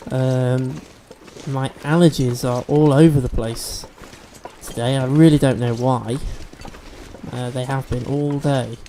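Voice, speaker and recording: Gaming host, male, mellow tone, sniffly, chatty walkthrough delivery. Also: medium pitch, steady tone, slow pace, a little hiss or hum. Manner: chatty, explains game mechanics as he plays. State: sniffly from allergies. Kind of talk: let's-play commentary.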